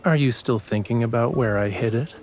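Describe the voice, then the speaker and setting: A man's voice: medium pitch, a little fast, a little mellow, faint music behind, close to an everyday voice. A man playing a teasing, smug young painter, the playful romantic lead of a video game. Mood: amused teasing. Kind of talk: English game voice acting.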